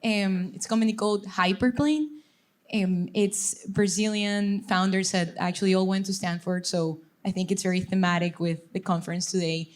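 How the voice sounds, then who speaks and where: A woman's voice: medium pitch, steady tone, normal speed. A woman from Ecuador, a venture fund director, introducing herself fluently on a panel. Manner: fluent and composed.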